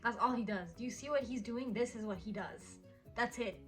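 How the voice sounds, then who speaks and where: A woman's voice: fairly high pitch, a little fast, soft background music. A woman in her early twenties chatting casually and teasing her husband in a Q&A vlog, with lots of "like" fillers. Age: 20s. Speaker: vlogger. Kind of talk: casual couple Q&A chat.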